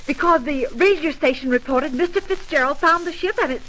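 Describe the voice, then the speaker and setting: A woman's voice: high voice, brisk, sharp tone. A woman playing a polite, deferential company secretary in 1930s-style radio drama acting. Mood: earnest. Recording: noisy old radio recording.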